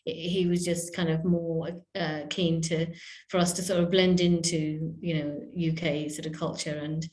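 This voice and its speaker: A woman's voice: low-pitched, level tone, normal speed, darkish tone. A woman speaking as a podcast guest, reflective and hesitant, with many fillers and restarts. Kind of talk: reflective interview talk. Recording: echoey room.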